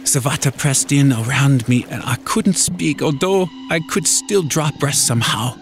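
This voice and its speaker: A man gives a dramatic first-person reading of a horror story. His medium-pitched voice swings widely in intonation, with music playing underneath. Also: animated, moderate pace, vocal fry. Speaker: story narrator.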